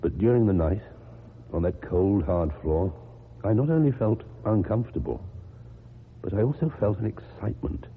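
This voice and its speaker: An actor with a British accent playing a lonely, awkward, earnest man in a radio drama, speaking formally and haltingly. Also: on the low side, lightly acted. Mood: confessional, subdued. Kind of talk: old-time British radio drama acting. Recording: muffled, noisy old radio recording.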